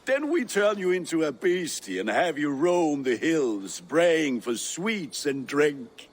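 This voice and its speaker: A man's voice: a little high, very animated, slow pace, exaggerated voice. A man playing a proud, playful, grumbling old Viking warrior in a dramatic game cutscene. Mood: mischievous. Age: older. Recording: faint outdoor ambience.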